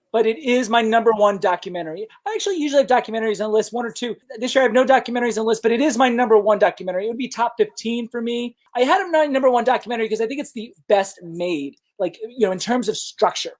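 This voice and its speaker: Brisk man hosting a film podcast. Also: very high voice. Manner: brisk, leads the discussion. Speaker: podcast host. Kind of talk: casual film review chat.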